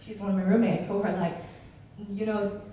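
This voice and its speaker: A woman's voice: medium pitch, little pitch movement, warm tone, muffled recording. Young woman, Burmese accent, hesitant, fillers; distant mic in a big echoey hall. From Myanmar. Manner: hesitant, halting, many fillers. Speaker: student asking a question. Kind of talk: audience question at a summit. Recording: echoey hall from far off, faint noise.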